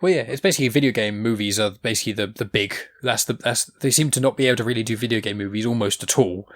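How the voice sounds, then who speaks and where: Fast-talking young man. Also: on the low side. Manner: rambling, animated, swears casually. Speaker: podcast host. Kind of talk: casual nerd chat.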